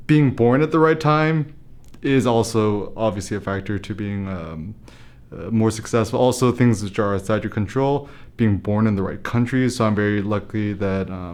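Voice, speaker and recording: A man's voice: fairly low pitch, darkish tone. A young American man, a photography blogger, talking casually to camera and thinking aloud, with fillers and restarts. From United States. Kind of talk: casual motivational talk to camera.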